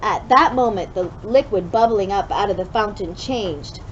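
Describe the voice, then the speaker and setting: A woman reading a children's story aloud expressively, drawing out key words with deliberate emphasis. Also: mid-range voice. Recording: dull lo-fi sound with clear background noise.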